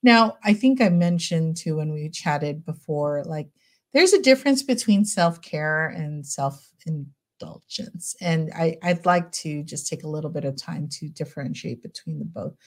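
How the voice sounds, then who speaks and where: This is a middle-aged woman psychologist chatting as a podcast guest. Her voice sits a bit low, and her manner is gentle and relaxed. She wanders through thoughts with plenty of fillers and light laughter. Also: unhurried. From United States. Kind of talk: casual podcast interview.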